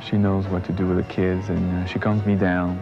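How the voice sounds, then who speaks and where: A man giving unscripted interview remarks, halting as he searches for words. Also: deep voice, monotone. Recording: dull sound with music behind.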